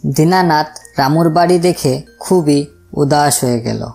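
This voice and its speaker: Female narrator for a moral story, low register, scripted delivery, light music underneath. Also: unhurried, creaky, dark tone. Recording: music behind the voice. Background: background music.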